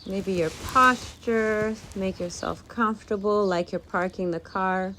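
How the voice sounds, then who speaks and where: A woman leading an informal guided meditation talk, speaking gently in halting phrases with pauses, as a teacher. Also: mid-range voice, slight background noise. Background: birdsong.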